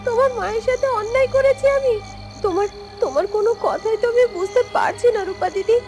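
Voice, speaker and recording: A woman voicing an innocent, gentle young girl in a cartoon story, earnest and hesitant, often repeating words. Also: very high voice. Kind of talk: Bengali cartoon story dialogue.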